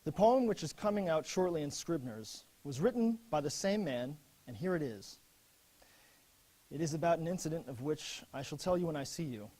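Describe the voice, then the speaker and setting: Solemn higher-voiced army officer played by a man. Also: animated, normal speed, warm tone, rough recording. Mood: sober. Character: earnest First World War army officer reading his letters. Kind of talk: dramatic reading of letters and verse.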